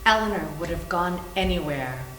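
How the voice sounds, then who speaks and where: A woman's voice: lowish voice, animated, moderate pace, fairly bright tone. A woman giving a scripted dramatic reading of a novel in a readers theater. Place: indoor room, live library reading. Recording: echoey room with faint hum.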